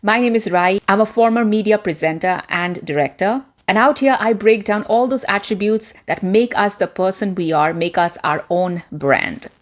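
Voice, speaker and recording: A woman presenter with an American-influenced transitional accent, giving a deliberate, clearly spoken self-help talk to camera. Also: mid-range voice.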